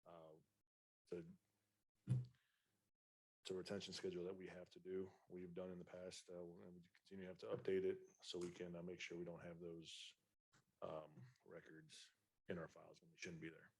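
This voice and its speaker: A man's voice: low-pitched, little pitch movement, moderate pace, pauses often, darkish tone, husky, rough recording. A male police lieutenant at a podium, answering the committee plainly and informally and repeating words as he goes. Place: podium in city hall chamber.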